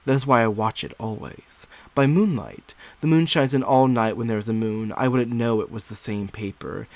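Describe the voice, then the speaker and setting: A man's voice: mid-range voice, normal speed, dry recording, natural voice. A man voicing an anxious, secretive young wife in dramatic, theatrical first-person literary narration. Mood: secretive, brooding.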